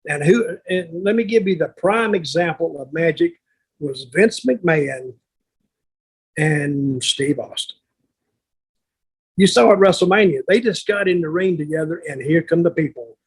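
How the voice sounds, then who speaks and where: An older man with a raspy voice tells wrestling stories casually as a veteran, bluntly and with salty language. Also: fairly high pitch, lively intonation, unhurried, poor audio. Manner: blunt, salty, acts out remembered exchanges. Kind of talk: casual anecdotal storytelling.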